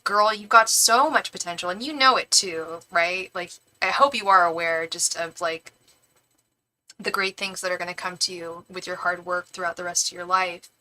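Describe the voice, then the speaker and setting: A woman with a bright, forward voice talks casually as the host of a live tarot reading. She rambles effusively and addresses her listeners with easy, girlfriend-like familiarity. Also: fairly low pitch, fairly even intonation, normal speed, low-quality recording. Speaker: tarot reader hosting a live stream. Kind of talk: casual chatty live stream talk.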